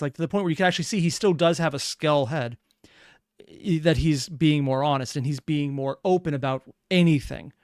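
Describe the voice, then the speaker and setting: Casting: male reaction host, fairly high smooth voice, wry, analytical, conversational. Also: no room sound, clean studio sound. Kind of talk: casual off-the-cuff reaction commentary.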